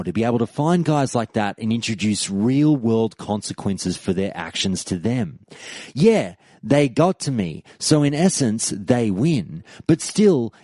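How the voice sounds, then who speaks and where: A male podcast host reading listener posts aloud and talking them over in a solo show. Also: no echo. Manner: animated, rises in pitch when reading quoted posts aloud.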